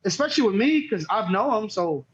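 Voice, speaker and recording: Through dull, lo-fi audio comes a man with a notably high voice. He talks as an interview guest, rambling casually through a story. His speech is peppered with swearing and quoted remarks. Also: lively intonation, brisk. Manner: profane, rambling, quotes others. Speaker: podcast guest, cornhole player.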